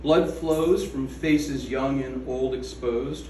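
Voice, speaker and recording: A man reading his own poem aloud at a gallery event, slow and measured, with falling line endings. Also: medium pitch, fairly even intonation, a little mellow, slight background noise. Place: art gallery room. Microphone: away from the microphone. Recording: echoey room from a distance.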